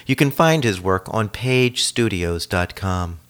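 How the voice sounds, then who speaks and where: A man hosting a community radio program, introducing the show and asking questions in a steady, measured way. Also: fairly low pitch, clear, resonant tone. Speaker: radio host and interviewer.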